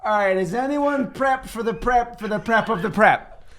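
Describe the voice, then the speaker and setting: A man's voice: sits high, normal speed, a bit bright. A man playing a tabletop game, chatting casually and playfully with the others at the table. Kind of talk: casual joking table talk.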